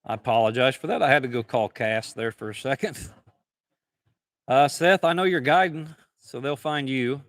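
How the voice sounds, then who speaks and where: Hoarse man hosting a live event. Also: mid-range voice, normal speed, a bit bright, no room sound, studio quality. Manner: rambling, folksy, chatty. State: hoarse from calling out. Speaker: livestream host. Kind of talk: casual live event commentary. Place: large indoor event hall.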